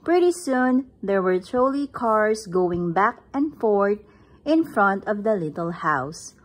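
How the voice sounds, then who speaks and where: A woman's voice: mid-range voice, sing-song, slow pace, low-quality recording. A woman reading a picture book aloud at home, recorded on a phone camera above the book. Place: indoors, at home.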